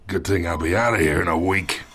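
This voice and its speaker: An actor playing an aging, smug mob boss in a video game, with a slow, taunting drawl. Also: male voice, a bit bright. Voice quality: gravelly, low drawl. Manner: slow, taunting, chuckles between lines.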